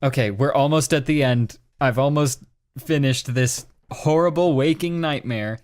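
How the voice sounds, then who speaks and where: Sarcastic man, slow dry-sounding recording. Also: medium pitch, darkish tone, dry recording. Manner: sarcastic, exasperated. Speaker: podcast co-host. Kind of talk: mocking dramatic reading and casual commentary.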